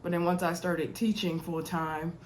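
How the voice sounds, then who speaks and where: A woman's voice: on the low side, flat delivery, normal speed, slight background noise, low-quality recording. A young woman with a sore throat and congestion chatting casually to her viewers as she recaps a reality TV episode, with lots of ums and y'alls. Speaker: TV recap host.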